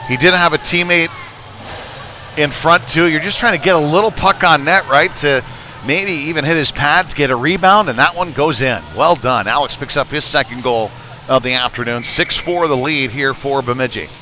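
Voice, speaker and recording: A man calling live hockey play-by-play, mixing conversational analysis with excited surges on big plays. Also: a little high, moderate pace. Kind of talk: live sports commentary. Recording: noisy rink ambience behind live commentary. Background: busy ice rink noise.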